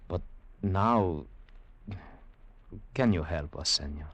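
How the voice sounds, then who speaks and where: Deep, courtly Latin gentleman. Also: lively intonation, takes it slowly, highs cut off, heavily acted. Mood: wistful. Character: courteous, proud Latin American gentleman archaeologist, formal. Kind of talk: 1940s radio drama acting.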